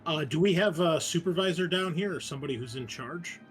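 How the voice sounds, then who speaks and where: A man's voice: a little high, a little fast, soft background music. A man chatting casually as a tabletop game player, describing his character and what he does.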